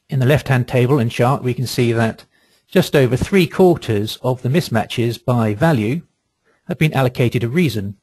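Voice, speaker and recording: A man gives a software demonstration as a webinar presenter. His voice is medium in pitch with a husky edge, and the sound is dry, with very little room in it. He explains things methodically and in a measured way, one step after another. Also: dry recording.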